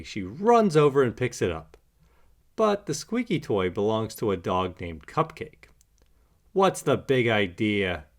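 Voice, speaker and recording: A man narrating a children's storybook aloud in an animated read-aloud style. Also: on the low side. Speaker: storybook reader.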